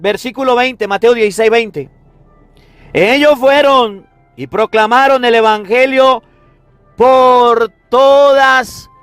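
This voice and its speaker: High-pitched male preacher in echoey room. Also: a bit bright. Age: 30s. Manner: emphatic, repetitive, with rhetorical questions. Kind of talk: sermon on the Gospel.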